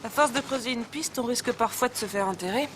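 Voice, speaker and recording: A woman dubbing a tough female army crime investigator in a French film, speaking calmly, curtly and firmly. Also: on the high side, quick pace, lightly acted. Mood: steady, dry. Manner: calm, curt and firm. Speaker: army lieutenant. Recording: film soundtrack with street noise.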